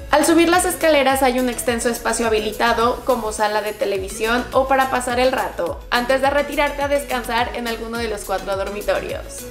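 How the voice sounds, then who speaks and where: A woman, reading an upbeat scripted narration for a celebrity house tour and talking to her viewers as friends. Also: fairly high pitch. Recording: roomy voice-over with background music.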